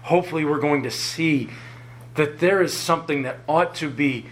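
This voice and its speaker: A man preaching a sermon, earnest and often chuckling, his voice lower than usual after an illness and halting when he ad-libs. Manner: earnest, chuckles often, rises in pitch for emphasis; halting, repeats words when ad-libbing.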